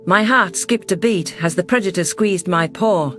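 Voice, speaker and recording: A man takes on a caring female alien leader telling a science fiction story in the first person. The voice is pitched high, gentle and reflective, with quiet music underneath. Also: normal speed. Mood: tender. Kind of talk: first-person sci-fi story narration and dialogue.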